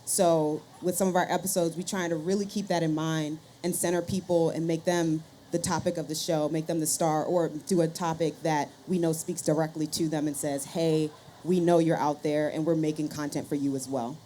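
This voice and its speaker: A woman explains her approach as a panel guest. Her voice is low and mellow, with faint ambient noise in the background. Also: fairly even intonation, normal speed. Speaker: panelist, podcast host and scientist. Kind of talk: panel discussion, explanatory talk.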